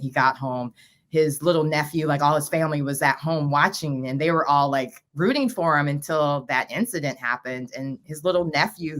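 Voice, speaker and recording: Low-voiced woman hosting a talk show. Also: a bit bright. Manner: chatty, self-deprecating asides. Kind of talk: casual talk-show chat.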